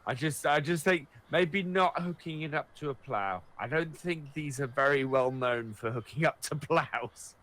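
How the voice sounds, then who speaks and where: A man's voice: a little high, moderate pace, few pauses, fairly bright tone. A man co-hosting a gaming video, chatting casually in role-play with his partner. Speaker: co-host of a gaming video series.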